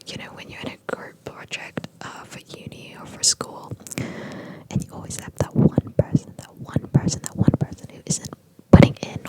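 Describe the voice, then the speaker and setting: Young Australian ASMR creator who whispers throughout and repeats each word over and over. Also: low-quality recording. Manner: whispers throughout, repeating words softly many times.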